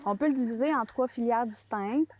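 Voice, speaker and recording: A woman's voice: fairly high pitch, brisk, darkish tone. A Québécois woman, an expert on the mushroom sector, explaining it in an interview with frequent fillers and restarts. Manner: hesitant, many fillers and restarts.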